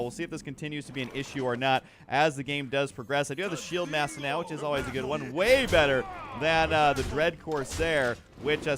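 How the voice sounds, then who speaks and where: A man hosting a gaming video with casual, jokey commentary and drawn-out words. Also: fairly high pitch, fairly bright tone.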